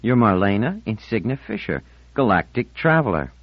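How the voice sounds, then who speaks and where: A male narrator plays a teasing, nearly grown teenage boy in an audiobook story. He is cheerful and breezy, reasoning playfully, with his pitch lifted and swinging about in a dry recording. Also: sing-song, moderate pace, breathy edge, dry recording, lo-fi sound.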